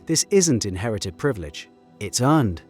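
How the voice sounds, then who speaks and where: Man narrating with lively, swinging intonation over music. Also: fairly low pitch, moderate pace. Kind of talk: scripted narration of a video essay. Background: background music.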